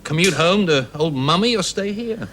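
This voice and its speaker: An actor playing a self-assured, paternal research boss, coaxing and persuasive in 1970s television drama style. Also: male voice, sits high, lively intonation, normal speed, a bit bright. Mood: teasing, persuasive. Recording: faintly hissy old television soundtrack.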